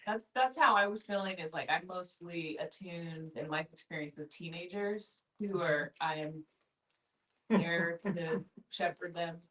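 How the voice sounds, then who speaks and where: A woman's voice: lowish voice, measured pace, a bit bright. A middle-aged woman chatting casually and candidly in a group discussion, in an effusive, informal way. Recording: distant room microphone.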